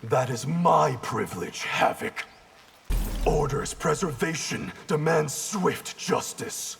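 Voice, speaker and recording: An actor playing a proud, cold, disdainful ninja in a video game, stern and sardonic with a gravelly voice. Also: male voice, fairly high pitch, slow pace, fairly bright tone, a little hiss or hum, exaggerated voice. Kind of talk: video game fight banter.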